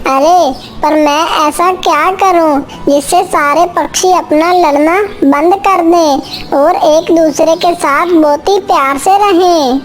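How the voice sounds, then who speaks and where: A woman's voice: very high voice, steady tone, takes it slowly. A woman voicing a small female bird, earnest and easily indignant, in a children's cartoon story, with music behind. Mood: worried. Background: faint music.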